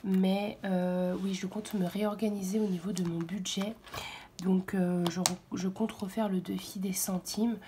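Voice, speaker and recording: A hoarse woman speaking softly and half-whispering at home in the early morning, hesitant and full of fillers as she walks through her weekly budget. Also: mid-range voice, fairly even intonation, measured pace, a little hiss or hum. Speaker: budget vlogger. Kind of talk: casual budget walkthrough.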